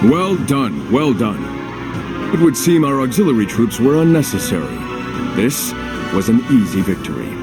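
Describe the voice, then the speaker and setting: An actor playing a gracious, dignified older army captain with a formal, measured manner in a game cutscene, music behind. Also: male voice, medium pitch, sing-song, natural voice. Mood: pleased. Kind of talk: video game cutscene acting.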